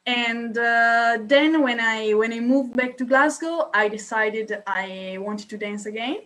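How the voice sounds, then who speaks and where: A young woman with an Italian accent, a podcast guest talking casually and hesitantly with many filled pauses. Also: fairly high pitch, fairly bright tone, rough recording. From Italy. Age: young adult. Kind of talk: casual interview talk.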